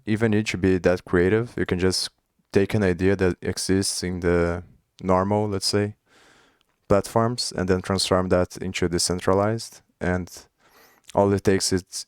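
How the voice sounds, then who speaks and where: A man's voice: low-pitched, flat delivery, normal speed, darkish tone, smooth, no echo, studio quality. A young man from Brazil with a Brazilian Portuguese accent, speaking English as a second language, chatting casually and thinking aloud while coding on a livestream. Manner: thinking aloud, restarts and trailing phrases. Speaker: coding streamer.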